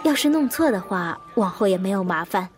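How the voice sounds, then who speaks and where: An actress plays a woman investigator in a reverberant radio drama, with music underneath. She is composed and probing, and her delivery is measured and introspective, in a mid-pitched voice. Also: animated, close to an everyday voice. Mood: calm, firm. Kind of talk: audio drama narration and dialogue. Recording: echoey long reverb, music behind.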